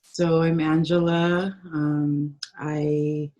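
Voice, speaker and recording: A woman from the northern Philippines, a guest in an interview, speaking slowly and thoughtfully with many pauses. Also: low-pitched, level tone, dark tone. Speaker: guest, herbalist and organizer. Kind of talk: reflective interview talk. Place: home, in the Bay Area.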